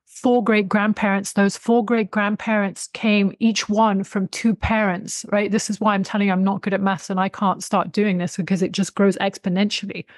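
A woman's voice: medium pitch, little pitch movement, moderate pace, hardly any pauses, dry recording. A woman in her thirties explaining her subject emphatically and conversationally as an interview guest. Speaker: interview guest, author and expert on folk spirituality. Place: small indoor room with bookshelves, video call. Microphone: desktop USB condenser microphone, close.

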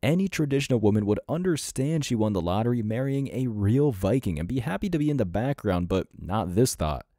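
A man's voice: mid-range voice, lively intonation, fairly quick, hardly any pauses, warm tone. A man delivering scripted reaction commentary as a host, in a mocking, sarcastic and blunt manner.

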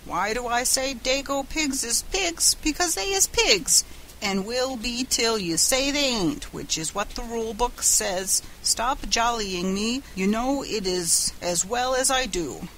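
A woman's voice: a little high, expressive, measured pace, fairly bright tone, voice pushed up, low-quality recording. Lady doing stubborn express guy from a storybook, folksy n sardonic, bit of background noise. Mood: indignant, dogged. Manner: folksy, ungrammatical, dogged. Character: stubborn, sardonic Irish express agent, a man.

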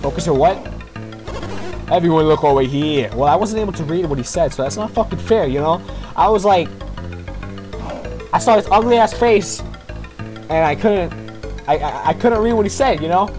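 A man's voice: on the high side, animated, normal speed, long pauses, music in the background. A man giving casual, profane game commentary in a slangy, rambling, complaining way. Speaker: gamer making a playthrough video.

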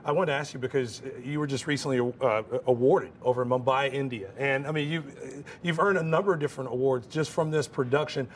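A man's voice: medium pitch, a little fast, hardly any pauses, slight background noise. A man in his forties hosting a morning news interview in a broadcast style, recorded in a TV studio.

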